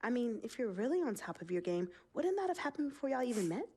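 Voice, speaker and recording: Combative, sarcastic American sister. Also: female voice, fairly high pitch, big pitch swings, fast talker, dark tone, breathy edge. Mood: fed up, confrontational. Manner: sarcastic, guarded. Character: protective, combative sister and gatekeeper of star athlete. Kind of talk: video game cutscene acting.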